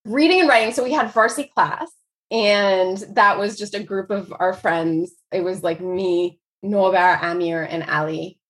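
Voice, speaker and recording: A woman's voice: mid-range voice, unhurried, fairly bright tone. American woman, 40s, author guest, rambling chatty delivery with lots of laughs. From United States. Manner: chatty, laughs often, many "like" fillers. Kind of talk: casual conversational interview.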